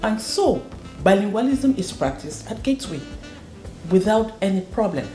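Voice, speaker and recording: A woman's voice: medium pitch, very animated, moderate pace, dark tone. A woman who runs a school, from northwest Cameroon, answering interview questions earnestly and repeating words for emphasis. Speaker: school proprietor and educator. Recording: roomy echo with faint music.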